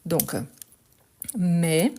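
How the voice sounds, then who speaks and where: A woman's voice: on the low side, steady tone, mellow. A woman teaching French in a live online lesson, correcting a text step by step. She explains patiently, repeats words often and talks into a phone she holds in her hand. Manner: slow, patient explaining with many "donc" and repetitions. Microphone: phone held in hand.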